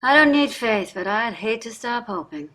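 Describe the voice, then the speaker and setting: An actress in a staged play reading, playing a wealthy older wife who is defensive, wry and restless. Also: female voice, on the high side, expressive, normal speed, pushed and bright. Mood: defensive, wistful. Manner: emphatic, prickly, wry.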